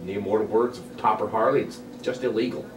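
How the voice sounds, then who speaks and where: A man's voice: lowish voice, fairly even intonation, quick pace, lightly acted. A man playing a wry guy in droll banter with his buddy at a comedy table read. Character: wry guy bantering about a woman friend. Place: indoor room, group table read. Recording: echoey room, distant mic, clear noise.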